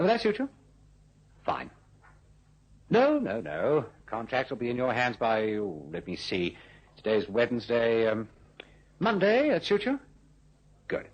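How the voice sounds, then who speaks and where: An actor playing a scheming, smooth-talking businessman in a radio drama, with a glib, wheedling and condescending manner. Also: mid-range voice, low-quality recording. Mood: brisk, affable. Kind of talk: old-style radio drama acting.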